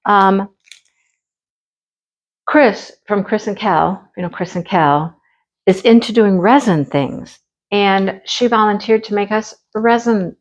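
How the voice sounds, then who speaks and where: Chatty, gushing woman, slightly hoarse. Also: mid-range voice, poor audio. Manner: chatty and gushing, often says she loves things. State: losing her voice. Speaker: craft channel host. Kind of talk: casual show-and-tell chat.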